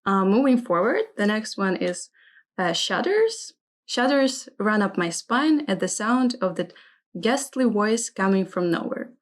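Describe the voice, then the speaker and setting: Woman, non-native English speaker, average pitch, lesson host, careful phrase reading, inquisitive questioning. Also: sing-song, a little slow. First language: not English. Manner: inquisitive, careful, checking meanings. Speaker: host of a vocabulary segment.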